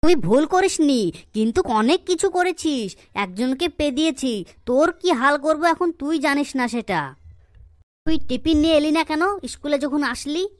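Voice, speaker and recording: A woman voicing a strict, scolding schoolteacher in a comedy cartoon, stern and quick to interrogate and threaten. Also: high voice, animated, no echo, clean studio sound. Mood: angry scolding.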